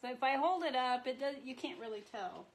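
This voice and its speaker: A woman's voice: sits high, a little fast. Sniffly middle-aged woman chatting thru a live craft stream, echoey room. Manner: chatty, exclaims softly over the colors. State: sniffly from a cold. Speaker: marbling artist streaming live. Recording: echoey room with faint background noise.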